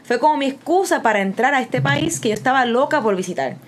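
A woman's voice: sits high, expressive, fast talker, a little hiss or hum. A young Puerto Rican woman co-hosting a casual podcast, explaining things with examples and asides. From Puerto Rico. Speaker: podcast co-host.

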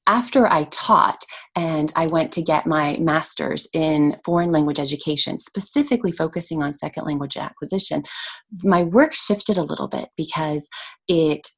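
A woman's voice: low voice, moderate pace, breathy edge. Articulate woman, native Hungarian speaker. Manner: enthusiastic, articulate, explanatory. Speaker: podcast guest, language education trainer. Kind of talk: conversational podcast interview.

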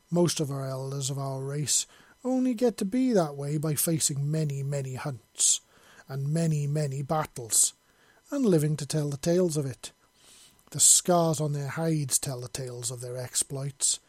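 A proud, menacing alien warrior woman who speaks slowly and evenly, as a male narrator voices her. The tone is dark and mellow, close and dry. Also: medium pitch, breathy edge, dry recording. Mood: matter-of-fact. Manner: slow, measured, matter-of-fact.

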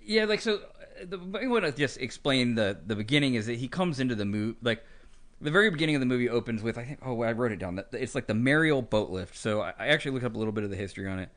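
Fast-talking man, rambling casual chat. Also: medium pitch, animated, a bit bright. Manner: rambling, loose explaining with many asides. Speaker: podcast guest.

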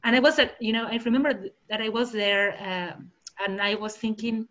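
A woman's voice: on the high side, fairly even intonation, brisk, fairly bright tone, dull audio. A woman from Argentina, talking casually about translation with frequent fillers and restarts. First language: Spanish. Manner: hesitant, many fillers and restarts. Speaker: translator discussing research. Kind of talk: casual conversation.

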